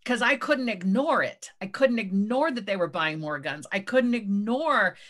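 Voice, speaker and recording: A woman moderating a book conversation, measured and thoughtful with frequent hesitations. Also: mid-range voice, lively intonation, fairly bright tone. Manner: measured, many um and uh fillers. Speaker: interviewer and moderator.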